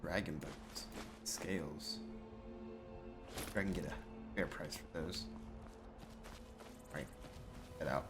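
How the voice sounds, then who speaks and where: A man roleplaying a bewildered fantasy adventurer in a video game, thinking aloud in a halting, musing way. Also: a bass voice. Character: bewildered, hesitant male adventurer in a fantasy world. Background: music.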